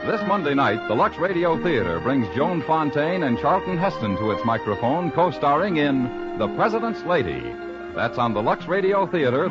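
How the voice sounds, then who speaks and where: A man with an American accent reading formal, emphatic announcements as a 1950s radio announcer. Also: a little high. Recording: dull vintage 1950s radio recording. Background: music.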